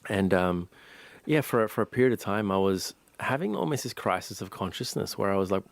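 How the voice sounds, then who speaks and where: Man from Sri Lanka, meditation teacher in an interview; deep voice, unhurried and reflective, dry close studio sound. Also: vocal fry, no echo. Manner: calm, thoughtful, many pauses and restarts.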